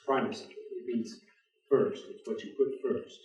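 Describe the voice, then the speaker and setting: An actor in a staged reading playing a reserved, skeptical intellectual professor with terse, understated, deadpan replies. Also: male voice, mid-range voice, unhurried, highs cut off, natural voice. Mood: dry, guarded. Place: indoor theatre stage. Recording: roomy theatre, distant microphone.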